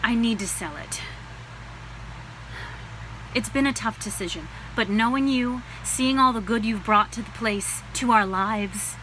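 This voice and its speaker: A woman's voice: a little high, fairly bright tone, slight background noise, low-quality recording. A woman playing a weary, earnest, trusting pregnant shop owner in a read-through of a stage musical. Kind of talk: musical table read acting.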